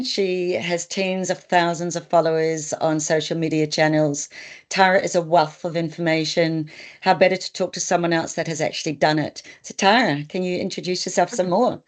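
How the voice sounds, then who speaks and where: A woman with a New Zealand accent hosting a webinar as a migration consultant, friendly and a little hesitant, with fillers. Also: fairly low pitch. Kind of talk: webinar presentation.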